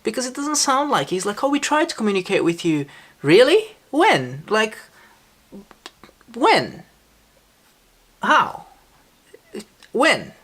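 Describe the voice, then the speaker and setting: A man talks through a reaction video in casual vlog commentary. His pitch swings widely as he drips sarcasm and disbelief. He sounds exasperated and keeps firing back incredulous questions. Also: wildly swooping pitch, slow pace, long pauses, fairly bright tone. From Brazil. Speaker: reaction vlogger.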